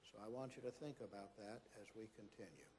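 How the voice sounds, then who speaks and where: Male stage narrator: warm, mellow voice, scripted introductions, long room reverb, slight background noise. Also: lowish voice, brisk. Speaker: narrator of a readers theater re-enactment. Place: large hall before an audience. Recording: echoey hall, faint background noise.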